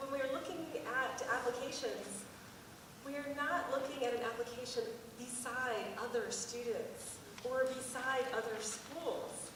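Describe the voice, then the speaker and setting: Higher-voiced woman in an echoey hall. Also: a little slow. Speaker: college admissions officer on a panel. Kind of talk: panel discussion, explanatory. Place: large room at a public panel event. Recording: echoey hall from far off, noisy.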